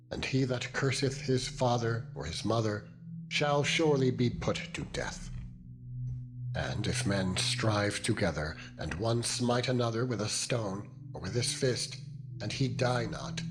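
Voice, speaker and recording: A man narrating scripture in a dramatized style, solemn and deliberate with measured phrasing. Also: medium pitch, rough recording. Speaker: Bible narrator. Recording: background music under the voice. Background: music.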